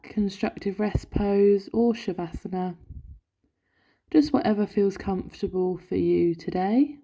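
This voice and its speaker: Very soft mellow woman guiding meditation, slow. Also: mid-range voice. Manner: gentle, soothing, instructional. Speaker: yoga and meditation instructor. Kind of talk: guided body scan meditation. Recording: dull, lo-fi, roomy echo.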